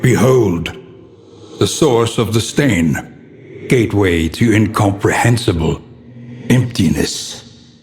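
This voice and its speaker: A male actor playing a grave, foreboding narrator in a gothic horror game, speaking in an ornate, theatrical and deliberate manner. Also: lowish voice, a little mellow, in a large hall, slight background noise. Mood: grandiose, dread.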